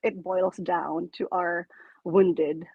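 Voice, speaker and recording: A woman's voice: on the low side, level tone, extremely slow, husky, no room sound, muffled recording. A woman with a Filipino accent, an interview guest and coach, talking in a chatty, enthusiastic way on a video call. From Philippines.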